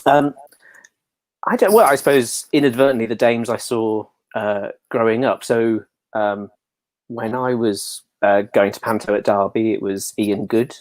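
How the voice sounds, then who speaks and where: English male, low register, dry sound. Relaxed anecdotal interview guest, jokey and self-mocking. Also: unhurried, no room sound. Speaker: interview guest, pantomime dame performer. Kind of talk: casual chat, anecdotal.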